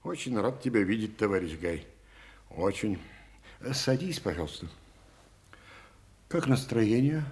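Commanding official, low firm lecturing voice, lively pitch swings. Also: male voice, unhurried, pauses often. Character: male senior Soviet official, commanding and blunt. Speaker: stage actor in an archive theatre scene.

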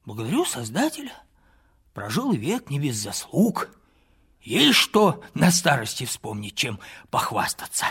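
A man voicing a humble, poor old male peasant in his sixties who drops to whispers and rises to high, excited outbursts in folksy rustic speech. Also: a little slow, breathy edge, full character voice. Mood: proud. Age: 60s.

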